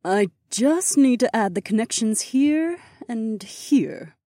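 A woman's voice: a little high, expressive, slow pace, warm tone, no room sound, studio quality. A woman voicing a helpful, capable young princess mage in fantasy audiobook dialogue. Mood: focused, confident.

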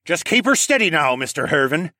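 Man acting a high-pitched, commanding raccoon pirate captain. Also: sing-song, a little fast, pushed and bright, no room sound, studio quality. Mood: firm, authoritative. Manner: bellowing, emphatic orders. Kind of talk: audiobook character acting.

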